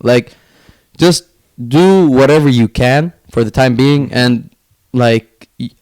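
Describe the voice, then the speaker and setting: Man, from Finland, smooth clear voice, medium pitch, casual podcast guest, informal filler-heavy phrasing. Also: slow pace, darkish tone. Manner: informal, peppers talk with "like". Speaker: podcast guest, young entrepreneur. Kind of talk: casual interview chat.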